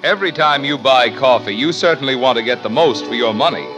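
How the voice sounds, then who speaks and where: American commercial announcer, male, very expressive pitch, mid-century radio sell. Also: fairly quick, over music. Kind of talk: 1950s radio advertising pitch.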